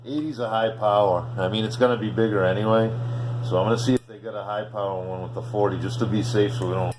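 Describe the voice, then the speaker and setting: A man's voice: lowish voice, fairly even intonation, fast talker. A man giving a casual, unscripted hands-on walkthrough as a hobbyist, rambling as he thinks aloud. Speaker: amateur radio hobbyist. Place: indoor room with bare concrete floor. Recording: roomy echo, clear background hum.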